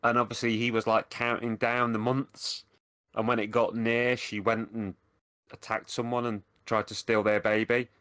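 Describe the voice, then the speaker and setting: A man's voice: medium pitch, little pitch movement, normal speed, a bit bright, smooth, dry recording. A man co-hosting a podcast, talking casually in a dry, matter-of-fact way. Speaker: podcast co-host. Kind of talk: casual chat.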